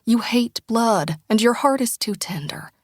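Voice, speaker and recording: A woman voicing a tender small-town mother who speaks in a soft, often breathy near-whisper, pleading and heartfelt. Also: on the high side, a little slow, no echo, clean studio sound. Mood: tearful. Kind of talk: audiobook character dialogue.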